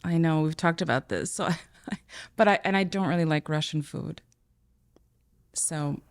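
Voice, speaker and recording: A woman's voice: low-pitched, moderate pace. A middle-aged woman from the former Soviet Union, hosting a podcast in casual, hesitant conversation. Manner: hesitant, many fillers and restarts. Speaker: podcast host and teacher.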